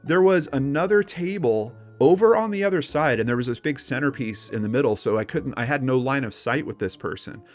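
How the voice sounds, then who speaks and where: Male podcast co-host, average pitch, informal and chatty storyteller, conversational paranormal talk. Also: animated, brisk, hardly any pauses, faint music behind. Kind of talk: casual paranormal discussion with personal anecdotes.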